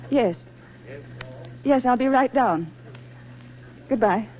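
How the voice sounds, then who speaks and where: Young woman in love: highish pitch, breathless sincerity, 1940s radio romance, dull archival sound. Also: animated, slow, a little hiss or hum. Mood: dreamy, polite. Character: devoted, wistful young working woman in love. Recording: muffled vintage 1940s radio recording.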